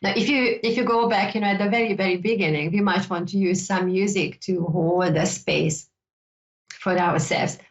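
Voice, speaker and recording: A woman's voice: medium pitch, fairly even intonation, moderate pace. A woman with a Hungarian accent, native speaker of Hungarian, hosting a psychic show and teaching in a loose, rambling way, often repeating words and adding "you know". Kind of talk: casual teaching talk. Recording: dull lo-fi sound.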